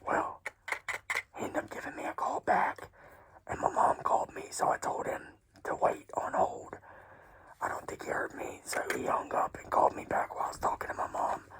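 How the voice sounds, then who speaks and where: A woman whispering a personal story in a hushed, tearful, casual way, swearing freely. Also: moderate pace, poor audio.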